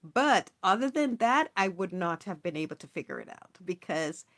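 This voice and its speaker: A woman's voice: fairly low pitch, big pitch swings, moderate pace. A woman hosting a casual live stream, reading viewers' messages aloud and chatting with them in an encouraging, upbeat way. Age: adult, not young. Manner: warm, encouraging, reads chat aloud, chatty with laughs. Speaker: livestream host. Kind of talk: casual livestream chat with viewers.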